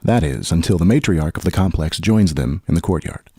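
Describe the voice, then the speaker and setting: A man narrating a scripted radio drama in measured storytelling fashion, with a gravelly voice. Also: low voice, expressive, noisy background. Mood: measured suspense.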